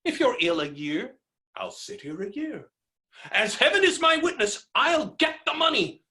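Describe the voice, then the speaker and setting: A man's voice: a little high, sharp tone, heavily acted. A man playing a blustering, hot-tempered creditor in a theatrical stage comedy reading, ranting with exasperated, melodramatic exclamations. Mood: defiant. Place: indoor room, recorded remotely. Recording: roomy, echoing virtual staged-reading recording.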